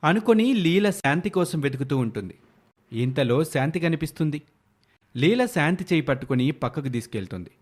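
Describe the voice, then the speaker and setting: A man's voice: on the high side, expressive, normal speed. A man reading scripted narration for a moral story as its narrator.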